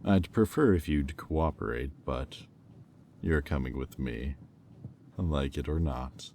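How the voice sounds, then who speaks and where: A man acts out a predatory male demon for a roleplay audio drama, with an extremely low, dark voice. He toys with his captive in slow, silky taunts, smug and in full control. There is faint noise behind him. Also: full character voice. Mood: commanding, seductive. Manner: slow, teasing, silky taunting with deliberate pauses.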